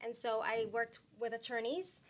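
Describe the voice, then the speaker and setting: A woman from Puerto Rico talking as a guest in a casual interview, soft-spoken with many fillers and restarts. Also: fairly high pitch, moderate pace.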